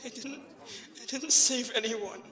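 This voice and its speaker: A woman playing a subdued, guilt-ridden young child in an audio drama, speaking in a quiet, halting way. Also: a little high, echoey room, some chatter behind. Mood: guilty, grieving.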